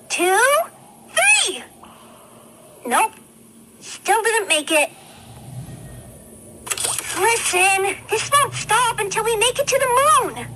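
A woman's voice: very high-pitched, noisy background, full character voice. A woman voicing a peppy, pushy, obsessive little bunny toy in scripted game lines.

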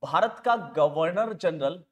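Slow-paced male teacher, sore throat. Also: a little high, expressive. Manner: slow, deliberate, repeats key phrases while writing. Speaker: history teacher for exam students. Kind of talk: classroom lecture with asides to students. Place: indoor studio classroom at a green board. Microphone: clip-on lapel mic.

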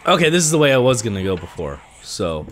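A man's voice: medium pitch, very animated, fast, fairly bright tone, a little hiss or hum. A young man with a congested voice, nervously talking himself through a horror game into a headset mic in a small room at home. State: congested from allergies. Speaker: gaming video creator.

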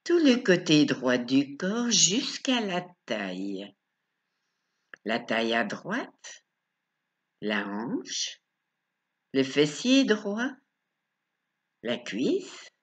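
A woman leading a guided relaxation as a coach, speaking calmly and instructively in short phrases with pauses. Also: sits low, highs cut off. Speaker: relaxation coach guiding yoga nidra.

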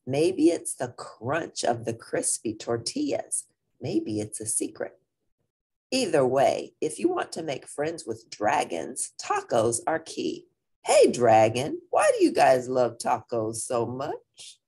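A woman with a husky voice reading a picture book aloud to young listeners in an animated, deliberate manner, with brief asides. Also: extremely low pitch. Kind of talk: expressive children's read-aloud with brief asides.

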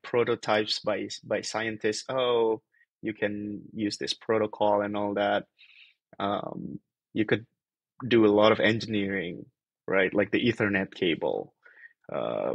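A young man from Indonesia talks through his ideas on a video call, circling back with fillers and restarts. His voice sits a little low with a gravelly, husky edge. The sound is dry and close. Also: fairly bright tone, no echo. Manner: rambling, thinks aloud with many fillers and restarts. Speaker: guest, PhD researcher. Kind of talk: casual video-call interview. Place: small bedroom-like room.

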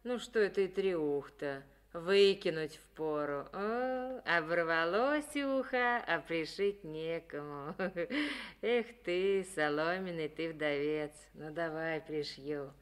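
A bold village chairwoman in a Soviet radio drama. She speaks slowly and forthrightly, in a folksy, teasing way, with a big-hearted air. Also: on the low side, clear, resonant tone. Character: bold, teasing, warm-hearted woman collective farm chair. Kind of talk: Soviet radio play acting.

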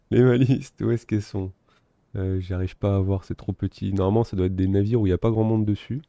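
A man giving relaxed, self-mocking commentary while playing a strategy game, thinking aloud. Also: fairly low pitch, fairly quick, dry recording. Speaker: gaming video host. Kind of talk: casual let's-play commentary.

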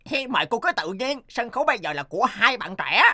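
A woman's voice: on the high side, big pitch swings, a little fast, pushed and bright. A woman voicing an upbeat male game show host in a comedy cartoon, formal and showy. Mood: courteous. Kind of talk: animated comedy cartoon dub.

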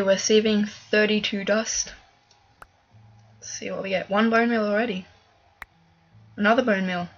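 A woman giving casual let's-play commentary as she plays, chatty and thinking aloud with fillers, a little hoarse from a cough. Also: medium pitch, dull audio, a little hiss or hum. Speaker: gaming video creator.

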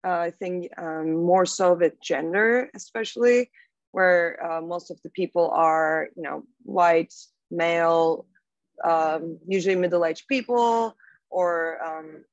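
A woman's voice: fairly low pitch, takes it slowly, no room sound. Turkish-accented young woman on a dull call. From Turkey. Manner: thoughtful, with fillers and restarts. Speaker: podcast guest. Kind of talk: casual interview talk. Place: small room at home. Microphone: laptop mic on a video call. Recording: dull, dry video-call audio.

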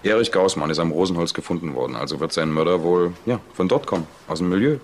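A man's voice: low voice, lively intonation, fast, a little hiss or hum. An actor playing a plain-spoken male police detective in a naturalistic crime audio drama. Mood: doubtful. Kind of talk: naturalistic German radio drama acting.

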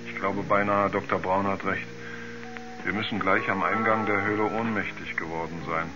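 An actor playing a haunted, frightened astronaut, halting and dazed as he searches for words in a seventies radio play. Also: sits low, steady tone, close to an everyday voice. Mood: haunted, fearful. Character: haunted, frightened male astronaut recounting a moon ordeal. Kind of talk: 1970s German radio drama acting. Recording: dull vintage radio recording, music underneath.

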